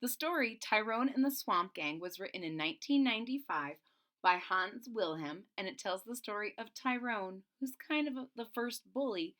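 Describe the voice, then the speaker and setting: A woman reading a children's storybook aloud as host, expressive, with deliberate emphasis and explanatory asides. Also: mid-range voice.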